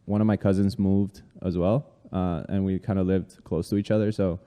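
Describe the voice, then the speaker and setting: A man in his thirties from Colombia, a guest telling his life story in a relaxed way, with many fillers. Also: low voice, normal speed, smooth, in a large hall. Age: 30s. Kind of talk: casual interview conversation.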